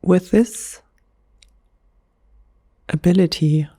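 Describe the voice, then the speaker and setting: A German woman, a native German speaker talking in English, whispering softly in a gentle ASMR chat. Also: fairly low pitch, husky, dry recording, clean studio sound. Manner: soft, slow, unhurried whisper with gentle pauses. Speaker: ASMR creator.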